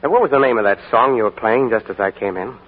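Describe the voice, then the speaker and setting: A man's voice: mid-range voice, fast, big-room sound, close to an everyday voice. A man playing a charming young American soldier. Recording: tinny 1940s radio broadcast, faint hiss.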